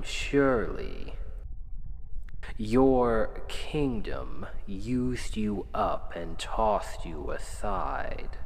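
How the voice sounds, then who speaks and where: Man playing a slow, gravelly, seductive Demon King. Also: medium pitch, heavily acted. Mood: scornful, persuasive. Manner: condescending, coaxing, theatrical, drifting into breathy whispers. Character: seductive, manipulative male Demon King, arrogant and patient. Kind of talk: ASMR roleplay, slow dramatic monologue. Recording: long hall-like reverb, faint background noise.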